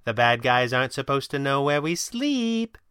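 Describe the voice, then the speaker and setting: A man's voice: mid-range voice, expressive, normal speed, bright, forceful tone, smooth, dry recording, studio quality, pitched above the performer's own voice. A man voicing a brash, teasing young woman crimefighter in an audio drama, with a sardonic, slangy manner. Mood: scolding, grumbling. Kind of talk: audio drama character voice in narrated reading.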